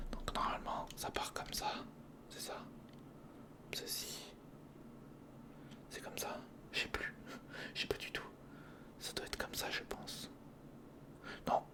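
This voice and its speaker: Hushed whispering ASMR builder. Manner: muttering short step-by-step remarks, informal, addressing the viewer directly. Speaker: ASMR video creator and host.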